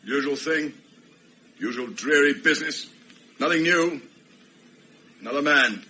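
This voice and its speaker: An actor playing a bitter, despairing betrayed husband in a radio play, speaking curtly and sardonically. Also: male voice, a little high, a little slow, bright, forceful tone, a little hiss or hum. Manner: curt, sardonic.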